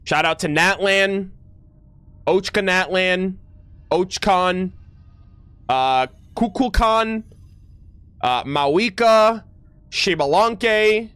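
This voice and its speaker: A man streams a game with enthusiastic, slangy commentary. His voice sits a little high and he takes his time, moving between casual reactions and reading text aloud, stumbling here and there. The sound is dry, with very little room to it. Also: slow, long pauses, fairly bright tone, clean tone, dry recording. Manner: enthusiastic, slangy, often stumbles over words.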